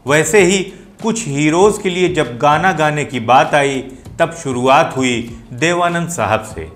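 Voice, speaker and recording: Man, somewhat high pitch, show host, explanatory commentary, light music behind. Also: a little slow, a bit bright. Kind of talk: explanatory video commentary addressed to viewers. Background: music playing behind the voice.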